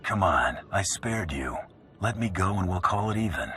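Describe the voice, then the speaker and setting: A man playing a cocky male bounty hunter in a video game, with a gruff voice and a dry, sardonic manner. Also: low-pitched, expressive, moderate pace, sharp tone, exaggerated voice. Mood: defiant. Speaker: player character in a video game. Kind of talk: video game cutscene acting. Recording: music behind the voice.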